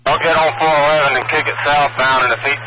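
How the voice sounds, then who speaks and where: A man's voice: on the high side, little pitch movement, fast. A man with a Southern US accent talking over police radio as an officer in a pursuit, in a clipped, informal, procedural way. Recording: noisy police scanner radio from a vehicle.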